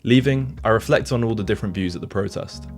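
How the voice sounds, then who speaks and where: Low-voiced man narrating over soft guitar. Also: fast talker. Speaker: documentary narrator. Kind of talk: scripted reflective narration.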